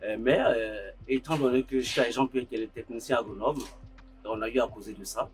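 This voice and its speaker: A man recounts how his project began, stopping often on filler sounds. His pitch is average for a man, and soft music plays faintly behind him. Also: brisk. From Côte d'Ivoire. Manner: hesitant, frequent filler sounds. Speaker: farm co-founder, accountant by training. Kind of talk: interview testimony.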